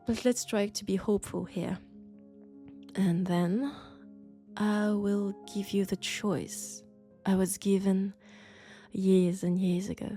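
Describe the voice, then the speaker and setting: A woman with a French accent playing an elegant, condescending vampire scholar in an intimate roleplay audio drama, speaking deliberately and seductively. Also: mid-range voice, steady tone, lightly acted. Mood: intrigued, persuasive. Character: elegant, sophisticated, coolly curious female vampire scholar. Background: faint dark ambient music.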